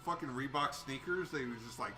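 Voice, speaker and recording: A man's voice: mid-range voice, fast talker, very noisy. A man co-hosting a casual movie show, joking crudely and swearing freely in laid-back banter. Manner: crude, heavily profane, jokey.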